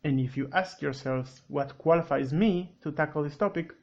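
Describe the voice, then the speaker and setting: A man's voice: a little high, moderate pace, a little mellow, muffled recording. A man whose first language is Hebrew, hosting a commentary video with curt, corrective, matter-of-fact scripted narration. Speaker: commentary host.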